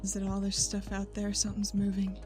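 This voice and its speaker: A woman's voice: medium pitch, completely flat delivery, brisk, a little mellow. A woman chatting informally and wryly as a player in a tabletop roleplaying game. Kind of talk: casual game chat with bits of roleplay. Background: background music.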